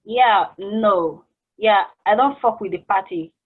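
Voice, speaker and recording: A woman playing a laid-back, candid fast-food worker in a stage play table read. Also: medium pitch, husky. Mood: easygoing. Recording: tinny, phone-like call sound.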